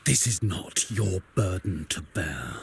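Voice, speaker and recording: A stern, dutiful magistrate from a video game. His voice is fairly low and unhurried, formal and restrained, with faint noise behind it. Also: big pitch swings, creaky, exaggerated voice. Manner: formal, measured, solemn. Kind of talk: scripted video game voice acting.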